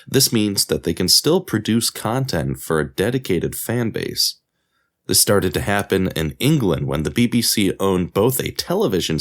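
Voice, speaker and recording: Low-pitched man narrating with lively intonation. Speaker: podcast host and narrator. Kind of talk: scripted documentary narration.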